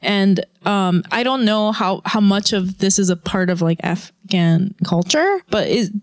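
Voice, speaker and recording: A woman co-hosting a podcast at a middle pitch, talking frankly and chattily. She swears casually and leans on "like" and "you know." Her voice sounds dry and close to the mic, with no room around it. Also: expressive, measured pace, no echo. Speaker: podcast co-host. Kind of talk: casual conversation.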